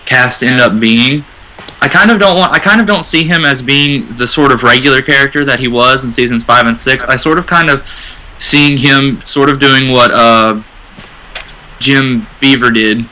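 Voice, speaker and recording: A man chats casually as a panelist in a fan discussion. His voice sits at a middle pitch with a bright, keen edge. He works his thoughts out aloud, often restarting a phrase. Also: moderate pace, poor audio. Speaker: radio show panelist.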